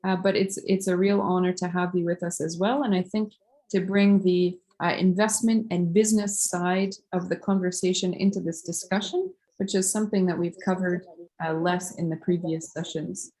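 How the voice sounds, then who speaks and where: A woman moderates an online webinar in a measured, polite way, pausing on fillers. Her voice sits a little low and stays very even in pitch. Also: low-quality recording. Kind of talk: introducing a webinar guest.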